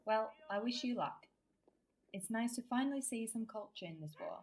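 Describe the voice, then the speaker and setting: A woman's voice: fairly high pitch, animated, moderate pace, slight background noise. A woman acting a curious, cultured wartime comrade in a radio drama, with an inquisitive, conversational manner. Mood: interested, encouraging. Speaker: actor in a student radio drama.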